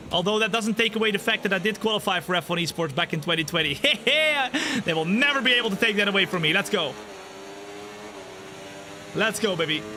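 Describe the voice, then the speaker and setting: A man in his twenties doing live commentary on a racing game while chatting with viewers, excitable during race action and relaxed in between. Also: slight background noise. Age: 20s. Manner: excitable, jumps high when the race action happens, laid-back when chatting. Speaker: sim racing streamer. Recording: game music behind the voice. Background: race car engines and game music.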